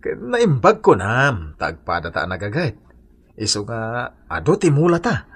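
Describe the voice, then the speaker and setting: A man playing a contented, generous village husband and father in an Ilocano radio drama, genial and husky, with an Ilocano accent. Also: mid-range voice, very animated, unhurried, a bit bright, heavily acted.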